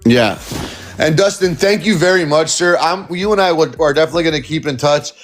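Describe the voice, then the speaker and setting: A man's voice: on the high side, fairly quick, faint music behind. A man hosting a live stream, interviewing a caller in a casual, rambling way with many fillers and restarts.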